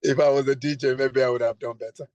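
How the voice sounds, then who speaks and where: A man speaks in a mid-range voice, halting and repeating words as he answers. The recording is dry and close, with no room sound. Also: medium pitch, flat delivery, darkish tone, no room sound, dull audio. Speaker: interview guest. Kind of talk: casual interview talk.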